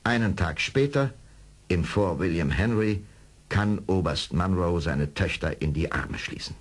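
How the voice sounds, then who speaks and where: A man's voice: low-pitched, lively intonation, measured pace, husky. A man giving dramatic scripted narration for an adventure audio drama.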